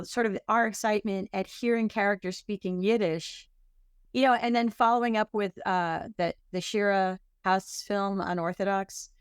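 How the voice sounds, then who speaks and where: A woman's voice: mid-range voice, smooth, no echo, clean studio sound. A woman guest in an interview, answering in a chatty way with many fillers and restarts. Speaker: author and klezmer singer, guest.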